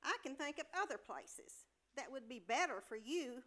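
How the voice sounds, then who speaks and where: A woman's voice: high voice, expressive, normal speed, pushed and bright, full character voice. A woman playing a bossy, scornful hospital nurse in a staged comedy reading, sarcastic and dismissive.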